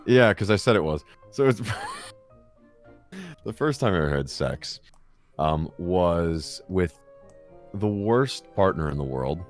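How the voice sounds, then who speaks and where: A young man with a deep voice, telling rambling, crude, swear-filled stories in a casual chat. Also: lively intonation, takes it slowly, pauses often, soft background music. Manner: crude, rambling storyteller who swears a lot.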